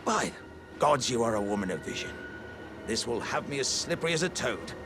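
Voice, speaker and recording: A man's voice: mid-range voice, sing-song, a little fast, noisy background. A man voicing a big, earnest, jovial living warrior jar in a video game, with theatrical, old-fashioned courteous speech. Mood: sheepish, hopeful. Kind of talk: video game character acting.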